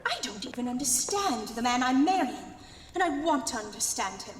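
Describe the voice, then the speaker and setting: A woman's voice: high voice, sing-song, moderate pace, slight background noise. A woman playing a furious, scornful young fiancée, scolding theatrically in a comic horror audio drama. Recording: long reverberant room sound.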